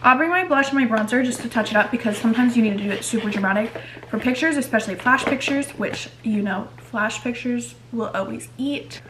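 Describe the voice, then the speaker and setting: A woman's voice: a little high, fairly quick, background noise. A woman in her twenties vlogging casually at home, chatty and swearing often, filmed on a handheld camera. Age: 20s. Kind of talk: casual vlog chat. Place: apartment bedroom and kitchen. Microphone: handheld vlog camera.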